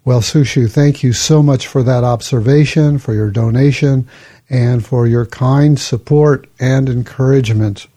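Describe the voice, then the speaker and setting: A man hosting his own podcast, talking in a relaxed, conversational way and reading listener emails aloud. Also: medium pitch, measured pace. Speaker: podcast host. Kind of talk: casual podcast talk.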